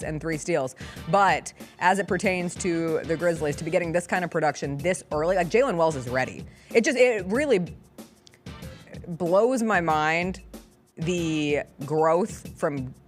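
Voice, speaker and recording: A woman with a slightly low-pitched voice hosts a sports talk show. Her delivery is chatty, playful and emphatic, moving between banter and game commentary. Music plays behind her.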